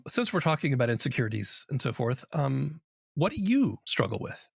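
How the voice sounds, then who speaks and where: A man's voice: medium pitch, big pitch swings, brisk, creaky, slightly rough, dry recording, clean studio sound. A man interviewing a guest as a podcast host, asking questions in a casual conversational style.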